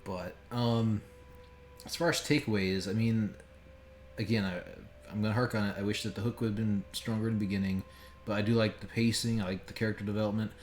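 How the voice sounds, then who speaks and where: A man's voice: on the low side, steady tone, a little fast. A man giving casual, joking and sometimes profane commentary as a game streamer. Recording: roomy echo with faint background noise.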